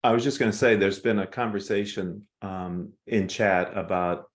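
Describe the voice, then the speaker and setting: A man's voice: fairly low pitch, fairly even intonation, moderate pace. A man acting as moderator, casually relaying questions from the audience. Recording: echoey room sound.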